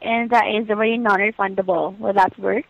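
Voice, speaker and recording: A woman's voice: mid-range voice, steady tone, brisk, gravelly, no room sound. A woman working as a hotel booking agent, speaking politely and patiently in formulaic customer-service phrases over the phone. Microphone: telephone line. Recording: muffled lo-fi phone call.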